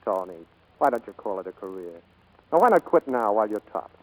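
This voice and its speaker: An actor playing an earnest, steady American aircraft builder in a 1940s radio drama, heard on a vintage broadcast recording. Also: male voice, fairly low pitch, brisk, low-quality recording. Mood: tender, earnest.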